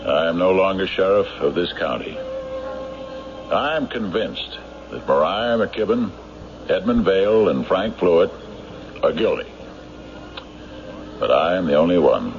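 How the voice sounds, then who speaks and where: Stubborn sardonic old radio sheriff, muffled recording, noisy background. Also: male voice, low-pitched, expressive, measured pace, pauses often. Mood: grave, resigned. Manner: blunt, insistent, sardonic. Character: stubborn, hard-driving small-town sheriff, certain of guilt. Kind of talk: old-time radio drama acting.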